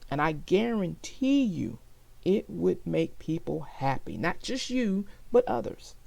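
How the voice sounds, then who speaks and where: A woman narrating a children's story aloud, expressively and deliberately, as if to young listeners. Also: lowish voice, very animated, vocal fry, darkish tone, dry recording.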